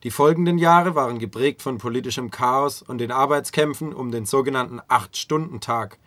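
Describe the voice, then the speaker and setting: Male narrator for a radio history feature, mid-pitched, scripted delivery, recorded dry. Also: moderate pace, a bit bright, no echo.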